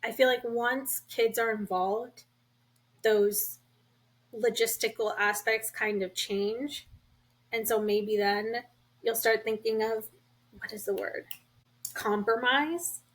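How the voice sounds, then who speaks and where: A woman co-hosting a podcast in casual chat, asking questions and thinking out loud. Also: a little high, slow, long pauses. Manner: relaxed, asks questions, thinks aloud. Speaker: podcast co-host.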